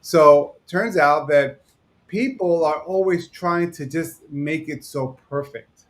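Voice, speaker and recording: A man from New York who owns a digital agency, talking fast and rambling in a casual chat. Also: on the high side. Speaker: digital agency owner, co-host.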